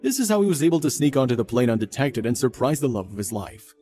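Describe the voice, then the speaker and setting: Fast-talking male narrator, mellow tone. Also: medium pitch, big pitch swings. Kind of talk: scripted viral story narration. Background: faint background music.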